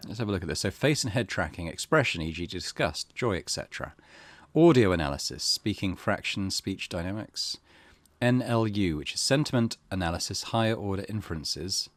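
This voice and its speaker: A man with a deep voice hosts a casual gaming let's play. He muses in a low-key way as he reads along and comments. The recording is close and dry, with no room sound. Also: lively intonation, a little fast, no room sound. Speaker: gaming channel host.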